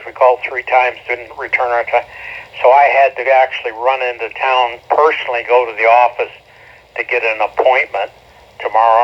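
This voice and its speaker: Wry guy rambling on ham radio, average pitch, muffled sound. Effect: ham radio transmission. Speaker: amateur radio operator. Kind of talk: casual radio net check-in. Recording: dull, lo-fi ham radio audio.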